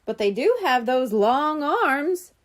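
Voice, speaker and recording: A woman acts out an observant young girl from a children's storybook. Her voice is high and lively. She explains things plainly, with a playful streak. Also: slow, full character voice.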